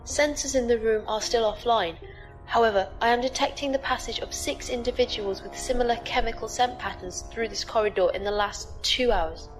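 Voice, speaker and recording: A woman voicing a formal, obedient female ship AI who serves as a squire, reporting in a precise, flat way. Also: fairly high pitch, a little fast, faint music behind, close to an everyday voice, rough recording. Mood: dutiful. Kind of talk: audio drama acting.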